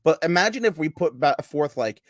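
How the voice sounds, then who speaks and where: A man co-hosting a casual sports podcast, animated and profane, now and then bursting into loud yelling. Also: on the high side, a little fast, dry recording, studio quality. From United States. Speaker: podcast co-host.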